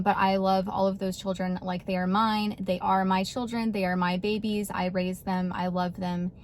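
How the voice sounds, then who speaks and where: A woman in her thirties from Texas, chatting casually to camera and laughing often between animated bursts. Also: medium pitch, slight background noise. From Texas, USA. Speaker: vlogger.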